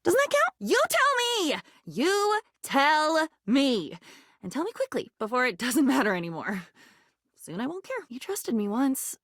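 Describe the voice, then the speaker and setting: An unhinged female living diary from a video game, with a high voice that swings wildly in pitch. She is insecure and morbid, speaking in erratic fragments that veer from hushed muttering to outbursts. The sound is close and dry. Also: wildly swooping pitch, unhurried, no echo, heavily acted. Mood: frantic, desperate, then uneasy, brooding. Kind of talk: theatrical video game voice acting.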